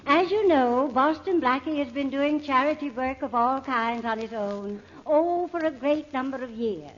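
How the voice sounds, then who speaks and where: A woman plays a formal, insistent charity chairwoman in a 1940s radio drama. Her voice is notably high. The recording is dull, with faint noise. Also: moderate pace, natural voice. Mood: formal, gracious.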